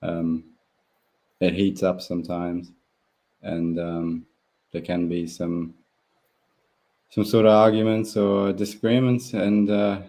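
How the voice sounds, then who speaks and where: A young man whose first language is German, with a low, dark, mellow voice. He rambles through a relaxed live chat, restarting sentences and leaning on fillers. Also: slow pace, long pauses. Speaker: guest speedway rider. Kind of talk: casual live interview.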